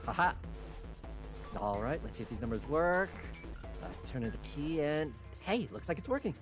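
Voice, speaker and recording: A man playing a game and commentating casually, swearing and getting excitable as he goes. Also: fairly high pitch, normal speed. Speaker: streamer, main player. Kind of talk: casual gameplay commentary and dramatic read-aloud. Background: background music.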